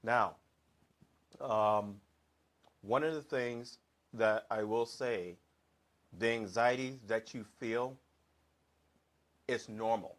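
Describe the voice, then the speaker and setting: A man hosting a talk show as a hypnotherapist, speaking earnestly and haltingly with frequent fillers and restarts. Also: fairly low pitch. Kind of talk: slow, earnest monologue introducing a guest.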